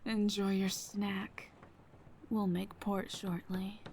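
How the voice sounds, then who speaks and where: A woman playing a sadistic, mocking henchwoman villain in an audio roleplay, taunting in drawn-out, breathy half-whispers. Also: mid-range voice, little pitch movement, noisy background. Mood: gloating, menacing.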